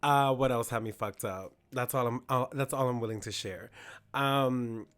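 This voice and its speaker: A hoarse man co-hosting a podcast, chatting candidly about his week and quoting himself in asides. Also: mid-range voice, moderate pace, fairly bright tone. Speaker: podcast co-host. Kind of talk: casual chat.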